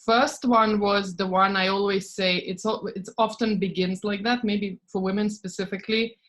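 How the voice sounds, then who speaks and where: A woman from Estonia, a podcast guest talking casually and acting out her inner thoughts in lively asides. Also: medium pitch, moderate pace. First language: Russian. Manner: animated, acts out her own inner voice in quoted asides, frequent "like" and soft laughs. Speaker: podcast guest, spiritual business coach. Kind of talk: casual interview talk.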